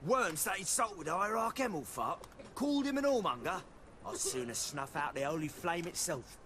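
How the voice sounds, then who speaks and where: A man's voice: high voice, sing-song, moderate pace, background noise. An actor playing a swaggering, hostile, pious street thug in a video game, jeering as he talks. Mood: outraged. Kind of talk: video game dialogue acting.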